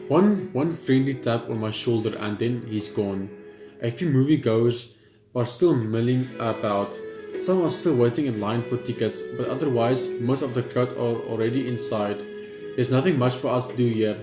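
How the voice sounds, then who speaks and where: A man narrating a horror visual novel in the first person, reading steadily and tripping over words now and then. His voice sits at an ordinary pitch in a roomy space, with clear game music playing under him. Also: normal speed. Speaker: gaming channel host.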